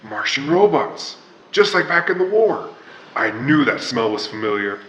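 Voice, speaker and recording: A man playing a gruff, reluctant hero in a radio drama, with wisecracking tough-guy talk. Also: medium pitch, sing-song, moderate pace, fairly bright tone, low-quality recording. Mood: urgent. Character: gruff reluctant hero, a baker and war veteran. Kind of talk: 1940s radio drama acting.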